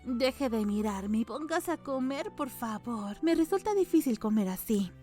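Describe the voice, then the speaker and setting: A pragmatic young female apothecary, played by a woman, speaks politely and with reserve in a fairly high voice. Her measured storybook lines sit over music. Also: animated, warm tone. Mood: matter-of-fact.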